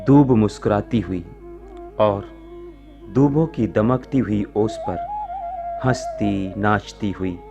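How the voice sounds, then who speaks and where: Slow, creaky, lyrical monk disciple. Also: male voice, fairly low pitch, heavily acted. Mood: lyrical, dreamy. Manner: lyrical, lingering on phrases. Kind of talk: Hindi radio drama acting. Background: faint music.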